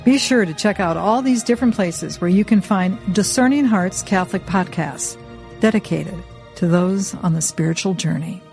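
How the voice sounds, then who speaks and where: A woman announcer reading a scripted podcast promotion in a clear, upbeat way. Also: medium pitch, normal speed, darkish tone. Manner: clear, upbeat, listing names steadily. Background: faint music.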